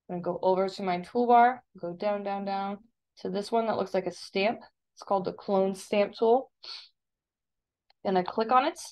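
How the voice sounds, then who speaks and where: Woman giving an informal step-by-step tutorial. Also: mid-range voice. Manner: informal, instructional. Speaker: instructor. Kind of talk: step-by-step software tutorial narration. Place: screen-recording voice-over.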